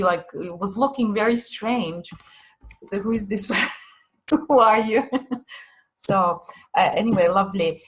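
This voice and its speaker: A woman's voice: mid-range voice, rough recording. A woman presenting a teaching course in a live online question session, chatty and hesitant, with many fillers and restarts. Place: Limassol.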